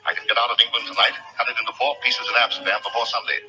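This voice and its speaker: An actor playing a scheming, impatient aristocratic villain in an old-time radio drama, curt and superior. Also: male voice, lowish voice, fairly even intonation, racing, close to an everyday voice, rough recording. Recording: old radio recording with music behind.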